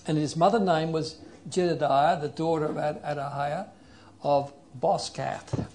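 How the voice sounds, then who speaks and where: Gravelly-voiced male preacher. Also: on the high side, steady tone, darkish tone, a little hiss or hum. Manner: earnest, explanatory, reads scripture aloud slowly. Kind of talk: sermon with Bible reading and prayer.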